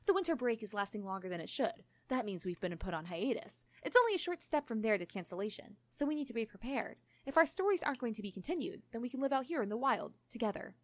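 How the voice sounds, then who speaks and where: A woman's voice: on the high side, very animated, quick pace, hardly any pauses, no room sound. A woman reading the lines of an earnest, matter-of-fact young man in a story, methodical in manner. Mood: ominous, earnest.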